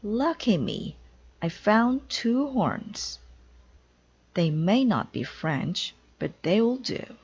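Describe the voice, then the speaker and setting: A woman voicing an eager, innocent, literal-minded woman from a children's storybook, in a bright, exclamatory way. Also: mid-range voice, very animated, takes it slowly, slightly rough, highs cut off, voice pushed up, heavily acted. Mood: pleased, busy.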